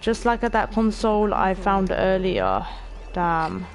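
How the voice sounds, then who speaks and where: A woman in her late teens with a stuffy nose, casually narrating a game stream, chatty and excitable, swearing offhand and talking to her viewers. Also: mid-range voice, measured pace, a crowd in the background. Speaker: gaming streamer. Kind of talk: casual let's-play commentary.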